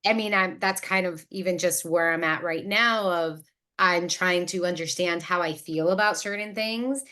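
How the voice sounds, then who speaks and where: A woman's voice: fairly low pitch, moderate pace. A woman in her late thirties hosting a podcast, talking casually and reflectively, working through her thoughts aloud at length. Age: late 30s. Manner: self-reflective, thinking out loud, wordy. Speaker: podcast host.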